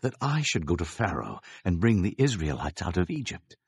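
A man's voice: sits low, big pitch swings, moderate pace, husky, no room sound, heavily acted. An actor playing a humble, doubtful male prophet, hesitant and pleading, in a dramatized scripture reading. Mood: doubtful, questioning.

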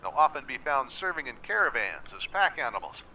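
A man voicing a folksy wasteland lore narrator in a video game, reading scripted encyclopedia entries in a measured storytelling manner. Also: mid-range voice, big pitch swings, bright, forceful tone, a little hiss or hum, heavily acted, poor audio.

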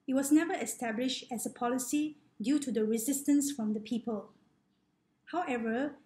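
A middle-aged woman from Malaysia, a researcher who reads her thesis presentation to a webcam in a measured, formal way. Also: high-pitched, warm tone. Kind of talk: scripted academic presentation. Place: indoor room, on webcam. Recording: echoey room on a laptop mic.

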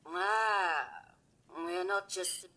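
Husky, haughty femme fatale robot. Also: female voice, on the low side, drawn-out words, pushed and bright, heavily acted. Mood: haughty, coy. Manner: affected, drawling socialite, condescending.

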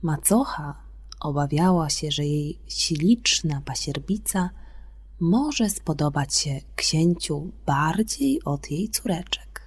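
A woman telling a children's fairy tale as scripted narration, expressive and gentle, with deliberate phrasing. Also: deep voice, slightly rough, dry recording. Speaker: storyteller on a children's radio programme.

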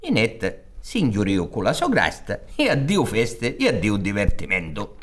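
A man's voice: fairly low pitch, huge pitch swings, normal speed, a little hiss or hum. An older man with a Sicilian accent narrates a folk tale in a dramatic, declamatory theatrical style. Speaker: storyteller. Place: theatre stage set up for radio recording. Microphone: close studio microphone on stand. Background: accordion music.

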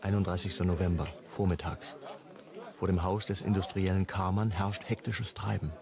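An actor playing a sober crime story narrator, husky and matter-of-fact, reading like a report in a radio play. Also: male voice, deep voice, level tone, normal speed, crowd noise.

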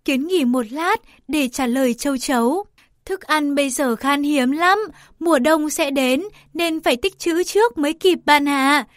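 High-pitched woman reading a children's story. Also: unhurried, dry recording. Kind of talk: scripted children's story narration.

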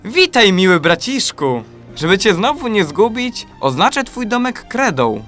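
Guy acting a young fairy tale prince, high voice, earnest n polite, radio play with music. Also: very animated, measured pace, fairly bright tone, heavily acted. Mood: joyful, affectionate. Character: devoted young prince searching for his brothers.

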